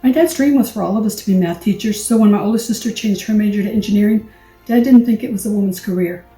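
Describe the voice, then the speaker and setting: A woman's voice: mid-range voice, fast talker. A woman in her sixties from El Paso, Texas, giving an earnest, reflective acceptance speech for an award. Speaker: award recipient. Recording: echoey room, distant mic, soft music.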